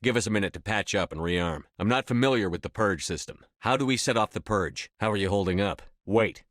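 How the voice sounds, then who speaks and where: A low-voiced, commanding military officer. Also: male voice, animated, fairly quick, a bit bright, no echo, clean studio sound. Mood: controlled, businesslike. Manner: clipped, authoritative military delivery. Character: steadfast male space-marine commander, tough and decisive. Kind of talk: video game dialogue lines.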